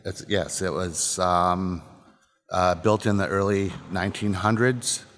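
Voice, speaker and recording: A man from Illinois, a distillery co-owner, answers questions in a halting way with many ums, trailing off softly. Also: deep voice, fairly even intonation, big-room sound. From Illinois, USA. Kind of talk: casual interview answers. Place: distillery building interior.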